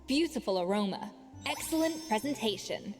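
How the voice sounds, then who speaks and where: A woman's voice: a little high, animated, moderate pace, lightly acted. A woman playing an exacting, composed head chef in a video game, poised and critical in manner. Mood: measured judging. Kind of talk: game voice acting. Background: game music.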